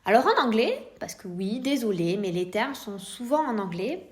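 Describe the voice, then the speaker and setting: Higher-pitched French woman, explainer podcast host. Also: big pitch swings, normal speed. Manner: didactic, informal address, rhetorical questions. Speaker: podcast host, private investor. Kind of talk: scripted explainer narration addressed directly to one listener.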